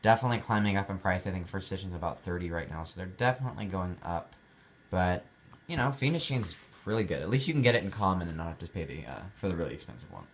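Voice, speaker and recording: A man's voice: low voice, animated, quick pace. A young man chatting casually about his collectibles, rambling with fillers and restarts. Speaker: card collector showing his cards. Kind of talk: casual vlog chat.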